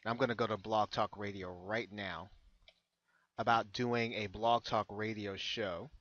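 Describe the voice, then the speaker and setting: An American man giving an unscripted screen-share tutorial as a consultant, halting and often repeating his phrases. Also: on the low side, sharp tone, no room sound. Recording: dull lo-fi close recording.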